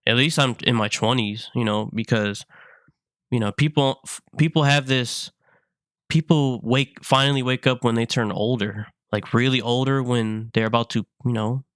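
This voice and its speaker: A man in his twenties, sick, talking in a halting, earnest way, often repeating himself in a personal vlog. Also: mid-range voice, a bit bright, clean tone, dry recording, studio quality. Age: 20s. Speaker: vlogger.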